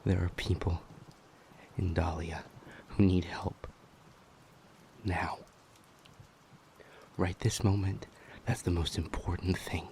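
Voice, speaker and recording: A man's voice: sits low, steady tone, slow pace, creaky, long pauses, a little mellow, full character voice. A man playing an impassioned, determined demon in an audio roleplay drama, emotional and intense. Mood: tense urgency. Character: impassioned male demon, urgent and determined to help. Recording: noticeable background noise.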